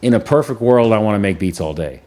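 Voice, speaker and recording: A man's voice: on the low side, animated, fast talker, darkish tone. A young man, a music producer, talking casually on a podcast in a laid-back, rambling way, full of "like" and trailing thoughts.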